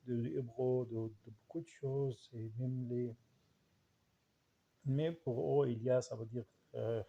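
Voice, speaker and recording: A man with an Arabic accent and an Arabic dialect as his first language reflects informally, haltingly searching for words and repeating himself. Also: mid-range voice, fairly even intonation, creaky, dull audio. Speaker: language researcher and writer.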